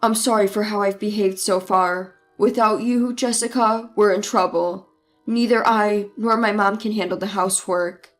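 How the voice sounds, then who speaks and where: Woman doing a bullying loud husband. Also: high-pitched, steady tone, moderate pace, faint music behind, pitched below the performer's own voice. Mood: pleading. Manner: yelling, belittling, then pleading. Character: bullying entitled husband, loud mama's boy.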